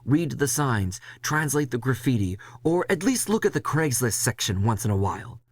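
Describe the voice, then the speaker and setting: Man voicing Leslie, a stern, higher-pitched crew boss. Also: very animated, moderate pace, no echo. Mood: stern, urgent.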